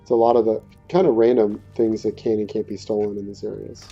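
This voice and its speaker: A man talks through a video game in a relaxed, fairly low voice. He is conversational and a bit hesitant. The recording is somewhat dull, with faint game music underneath. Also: a little fast, vocal fry. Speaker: gaming video creator. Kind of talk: casual let's play commentary. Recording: dull muffled audio, faint music behind.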